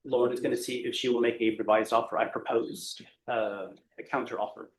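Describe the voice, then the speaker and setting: A man's voice: medium pitch, steady tone, a little fast. A man, a defense attorney, giving a rambling, detailed update to the judge in court. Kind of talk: courtroom address. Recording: echoey courtroom, faint noise.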